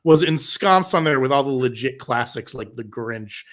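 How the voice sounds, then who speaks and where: A man's voice: medium pitch, animated, normal speed, a bit bright. A man chatting casually as a panelist, joking and rambling along. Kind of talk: casual chat.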